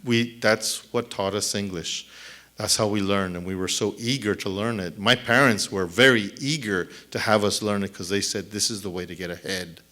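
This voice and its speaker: Low-voiced man answering at a lectern. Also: fairly even intonation, bright, forceful tone. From El Paso, Texas. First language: Spanish. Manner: thoughtful, halting, many restarts and fillers. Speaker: author at a book event. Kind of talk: audience Q&A answers. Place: theater stage at a lectern. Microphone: lectern mic on a stand.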